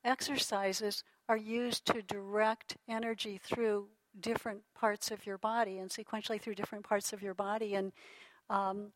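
A middle-aged woman giving a relaxed teaching talk to a class, calm and reflective, often restarting her sentences and laughing softly. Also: medium pitch, moderate pace, no room sound, low-quality recording. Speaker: lecturer on holistic healing.

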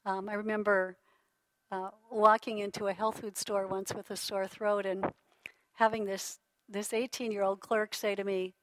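A middle-aged woman giving a relaxed teaching talk to a class, calm and reflective, often restarting her sentences and laughing softly. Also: medium pitch, moderate pace, background noise. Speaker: lecturer on holistic healing.

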